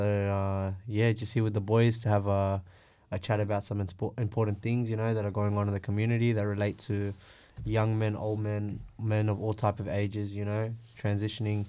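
Young man, podcast guest, smooth mellow voice, fairly flat delivery, relaxed, lots of "you know". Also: fairly low pitch, normal speed. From Australia. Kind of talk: casual panel discussion.

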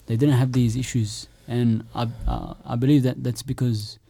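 A man speaks on a podcast panel in a hesitant way, often repeating his words. His tone is very dark and mellow, and the recording sounds dry and close. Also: mid-range voice, fairly even intonation, moderate pace, gravelly, slightly rough, no echo. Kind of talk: casual panel discussion.

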